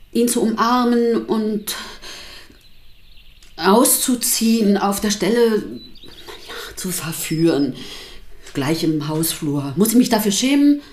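An actress plays a wife in a radio drama, defending her husband as she gives her account. Her voice sits at a middle pitch with diction. She chooses her words with care and stretches some for dramatic weight. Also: darkish tone, natural voice. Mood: confessional, intense.

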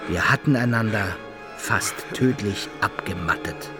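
An actor playing an adventurer who recounts his brawls and travels in measured, old-fashioned literary radio drama narration. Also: on the low side, music in the background, natural voice. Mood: measured recounting.

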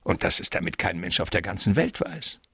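An actor playing a smug, scheming gentleman crook in a radio crime drama, with a gravelly, husky voice and a pompous, drawn-out, mockingly courteous manner. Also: deep voice, big pitch swings, no echo, studio quality, heavily acted. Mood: smug, amused.

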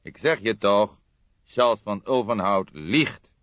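Man acting a low-voiced, deliberate old detective. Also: dry recording, highs cut off. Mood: insistent. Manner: careful, deliberate. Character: old grey-haired male detective, calm, wry, deliberate. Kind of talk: audiobook character voice in a detective story.

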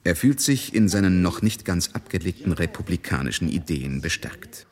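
A man acting as the wry, observant narrator of a literary radio drama, speaking in a measured, polished way. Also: low voice, very animated. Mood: dry irony. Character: wry, observant male narrator of a society novel.

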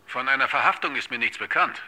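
A man playing a brisk small-town police inspector in a radio drama, curt and official. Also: medium pitch, animated, bright, forceful tone. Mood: brisk, questioning.

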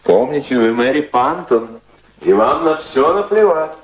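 An actor playing a cynical, cool-headed gentleman in a radio drama, ironic and commanding in manner. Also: medium pitch, lively intonation, measured pace, natural voice, low-quality recording. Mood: brisk, commanding. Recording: radio play with faint background noise.

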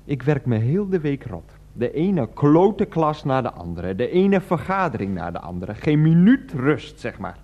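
A grumpy family father in a radio comedy. His voice sits fairly high and swings widely as he blusters. He is sarcastic, exasperated and coarse-mouthed. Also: sing-song, unhurried, few pauses, muffled recording, full character voice. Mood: grumbling. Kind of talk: Dutch radio comedy drama acting.

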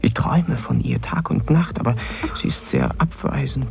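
A man's voice: medium pitch, huge pitch swings, normal speed, gravelly, a little mellow, exaggerated voice. An actor in a German radio play voicing a dreamy, lovestruck man with grand pronouncements, theatrical and slipping into whispers. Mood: yearning, dreamy. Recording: music under the voice.

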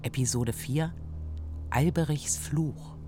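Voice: medium pitch, takes it slowly, a little mellow. An announcer reading scripted titles and credits for a radio drama series. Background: music.

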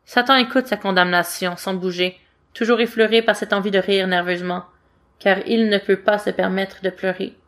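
A woman's voice: mid-range voice, fairly even intonation, moderate pace, fairly bright tone. A woman reading scripted fiction aloud as an amateur audiobook-style narrator. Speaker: amateur fan fiction reader.

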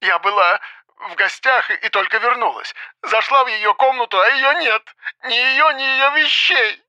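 An actress playing a hysterical, accusing mother in an audiobook, shrill and agitated, heard as if over a phone. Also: normal speed, pushed and bright, dry recording, poor audio. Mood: anxious. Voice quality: shrill, shrieking.

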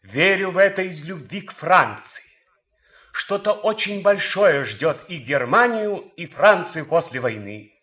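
An actor playing an idealistic German officer and composer in a radio drama, speaking earnestly, deliberately and theatrically. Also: male voice, high-pitched, expressive, pushed and bright, heavily acted. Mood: fervent, apologetic.

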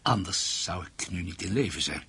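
A man's voice: deep voice, expressive, normal speed, vocal fry, bright, forceful tone, slightly rough, full character voice. An actor playing an anxious, resigned male informer in a radio drama, speaking in an earnest, subdued way. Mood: defensive, regretful.

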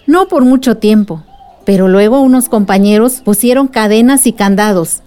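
A woman playing a gentle, patient villager explaining events in a radio drama. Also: medium pitch, moderate pace, close to an everyday voice. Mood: patient, reassuring. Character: patient woman villager recounting women's struggle to a child. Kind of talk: radio drama acting, storytelling.

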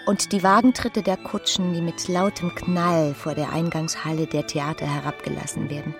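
An actress playing a restless, dreamy and disdainful young wife in a radio drama, with a breathy voice. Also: female voice, low-pitched, little pitch movement, normal speed. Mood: dreamy longing. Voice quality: breathy, at times whispering. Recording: music behind the voice.

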